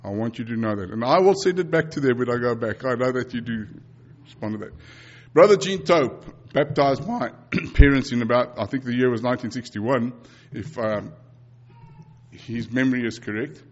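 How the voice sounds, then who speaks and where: South african preacher guy, 50s, afrikaans first language, earnest and repetitive, mid pitch, muffled lo-fi recording. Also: normal speed. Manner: earnest, repeats phrases, frequent restarts. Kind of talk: sermon and mission report.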